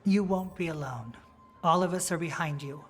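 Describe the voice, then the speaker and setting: An encouraging fantasy game hero, with a fairly high male voice. The delivery is earnest and supportive, with faint music in the background. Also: moderate pace, a bit bright, husky. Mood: reassuring. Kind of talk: video game cutscene acting.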